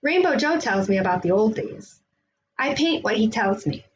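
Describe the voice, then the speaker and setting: A woman reading a children's picture book aloud in an expressive storytelling style. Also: a little high, moderate pace, dull audio, a little hiss or hum.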